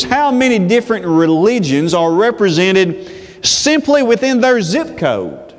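Slow, high-voiced preacher in a church. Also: male voice. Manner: slow, deliberate pulpit delivery. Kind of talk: sermon. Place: church sanctuary with reverb. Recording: long church reverb.

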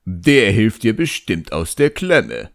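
A man voicing a cocky, talkative djinn in an audiobook, mocking and smooth-talking. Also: on the low side, very animated, slow, voice pushed up, full character voice. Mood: taunting. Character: cocky, sarcastic, chatty ancient djinn, teasing and flattering.

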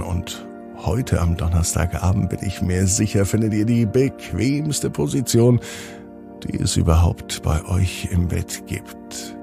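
A man hosting a children's podcast and narrating bedtime stories, speaking directly to young listeners in simple, unhurried phrases. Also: on the low side, gravelly, dark tone. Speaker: podcast host and bedtime storyteller.

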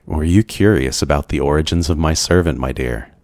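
A man voicing a brooding, lonely ancient vampire in an ASMR roleplay, gentle, courteous and soft-spoken, with hesitant pauses. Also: rumbling low, dry recording. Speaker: roleplay voice performer. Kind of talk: ASMR boyfriend roleplay, scripted.

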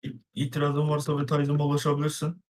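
A man's voice: fairly high pitch, monotone, quick pace, a little mellow. A man speaking as a game server moderator over voice chat, blunt and accusing. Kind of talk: confronting a player in voice chat.

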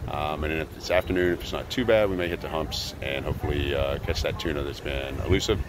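A deep-voiced man in his forties talks loosely into a phone at arm's length, full of "uh" and "um", as he updates viewers on a boat trip. Wind and engine noise hum steadily behind him on the open water. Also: quick pace. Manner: relaxed, rambling, with many fillers. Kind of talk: casual travel vlog updates. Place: outdoors on a small boat at sea. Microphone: phone held at arm's length.

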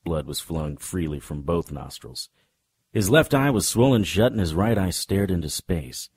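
An actor playing a wry, deadpan, hardboiled supernatural private investigator narrating a noir radio drama in a husky voice. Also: male voice, deep voice, moderate pace. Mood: grim, wry. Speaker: narrator and lead.

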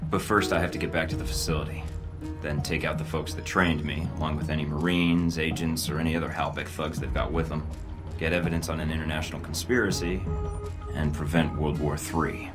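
A young American rogue agent in a game cutscene. His voice is extremely low, delivered with dry, sardonic confidence. Music plays clearly behind him. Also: steady tone, brisk. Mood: determined. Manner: dry, sardonic, self-assured. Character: cocky, wry young male rogue secret agent. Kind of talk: video game cutscene dialogue.

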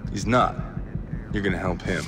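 A man's voice: deep voice, normal speed, natural voice. A man playing a hard covert agent and team leader in a video game, terse, commanding and threatening. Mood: businesslike. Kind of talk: dramatic video game voice acting.

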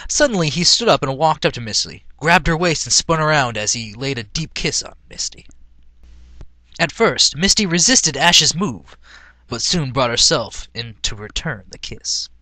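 A man's voice: fairly high pitch, pushed and bright, dry recording. A man reading a story aloud in a mock-dramatic, amused way, as an amateur reader who is prone to cracking up. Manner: breaks into laughter, amused. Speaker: amateur reader of fan fiction.

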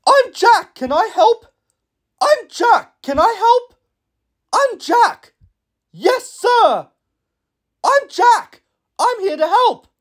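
A man voicing a high, eager young loader. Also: very animated, extremely slow, pauses often, heavily acted. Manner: chirpy and earnest. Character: eager, polite young male front loader. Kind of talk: cartoon character voice reel.

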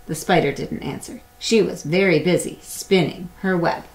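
A middle-aged woman reading a picture book aloud to young children in a simple, deliberate storytelling way. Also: on the low side, darkish tone, poor audio. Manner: simple, deliberate storytelling with acted animal sounds. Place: small indoor room at home or classroom.

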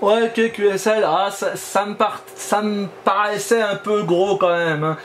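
A man's voice: high-pitched, steady tone, a little slow. A man operating amateur radio, talking in a casual, informal way during a contact. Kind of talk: casual ham radio exchange. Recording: clear background noise.

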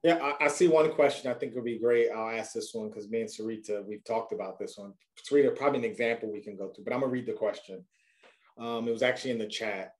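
A man's voice: lowish voice, fast talker. A man in his forties talking conversationally and with confidence on a video call panel. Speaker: data leader panelist.

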